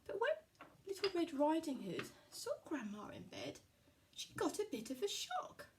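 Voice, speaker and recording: Female children's storyteller for a puppet show: high voice, very lively intonation, simple child-directed phrasing. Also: moderate pace, a little hiss or hum.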